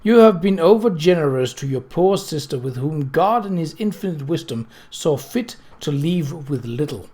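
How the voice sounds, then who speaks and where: A man's voice: on the high side, animated, moderate pace, hardly any pauses, dark tone, slightly rough. A man voicing a cross, pious middle-aged widow in a storybook, indignant and sanctimonious.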